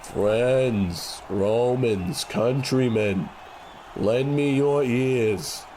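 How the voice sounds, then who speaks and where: A man's voice: mid-range voice, drawn-out words, dark tone, slight background noise. A man playing an earnest, conscience-stricken gangster who speaks like an orator, in an old-time radio comedy. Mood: earnest, oratorical. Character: earnest, conscience-stricken male gangster making a grand speech.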